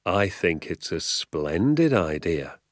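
A man voicing a calm, mischievous father who speaks softly and wryly. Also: deep voice, takes it slowly, dry recording, studio quality, heavily acted. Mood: sly. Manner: soft-spoken, wry.

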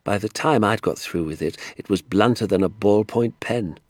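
A man voicing an excitable little old country doctor in an audiobook, kindly and indignant, with emphatic, drawn-out, theatrical delivery. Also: fairly low pitch, no echo, studio quality, natural voice. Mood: indignant relish. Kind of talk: acted audiobook dialogue.

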